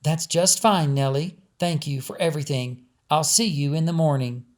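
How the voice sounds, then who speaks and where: A man voicing a gracious, gentle elderly grandmother in a storybook, polite and appreciative. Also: on the high side, normal speed, close to an everyday voice. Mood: kindly.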